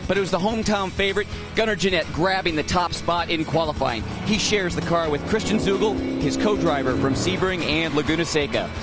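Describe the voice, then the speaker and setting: A man's voice: on the high side, a little fast, hardly any pauses, loud music behind the voice. Male reporter reading race preview. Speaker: pit reporter.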